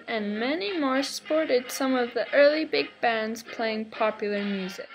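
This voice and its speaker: A perky sock puppet lecturer, voiced by a woman, speaks in a notably high voice. The delivery is scripted and presentational, with playful exaggeration and an excitable temper. It sounds like a homemade educational puppet show. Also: heavily acted, low-quality recording. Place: home kitchen.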